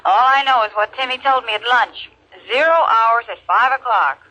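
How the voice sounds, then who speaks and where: Bright, high, gossipy housewife. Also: female voice, moderate pace, rough recording. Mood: chatty, amused. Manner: gossipy, breezy. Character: chatty, breezy housewife friend on telephone. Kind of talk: 1950s radio acting.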